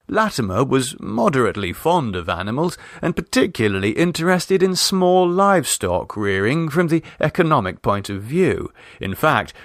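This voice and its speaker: A man's voice: medium pitch, fairly bright tone, no echo, clean studio sound. A man giving a scripted narration of a classic short story as an audiobook reader.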